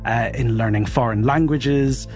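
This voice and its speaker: A man's voice: mid-range voice, a little slow, darkish tone. A man explaining pop music fluently and enthusiastically as a podcast guest.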